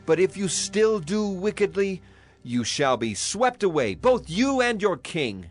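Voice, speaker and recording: A man's voice: sits high, sing-song, measured pace. An actor playing an aging, righteous prophet addressing his people in solemn, declamatory dramatized scripture. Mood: reassuring, firm. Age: older. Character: aging male prophet, solemn, righteous, stern yet caring. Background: music.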